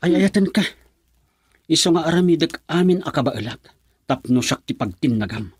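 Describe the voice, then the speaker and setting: A man's voice: fairly high pitch, animated, normal speed, slightly rough, dry recording. A man playing a desperate, possessive young lover in an Ilocano radio drama, with an Ilocano Filipino accent. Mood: pleading.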